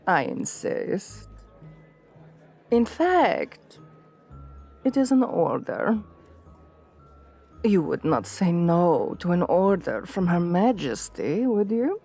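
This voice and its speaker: A regal vampire queen, acted by a woman in an ASMR roleplay, with a lowish voice that swoops expressively. She speaks very slowly and formally, coaxing and commanding with seductive, veiled menace over faint music. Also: big pitch swings, warm tone, full character voice. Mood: gently commanding. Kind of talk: scripted ASMR roleplay, addressing the listener.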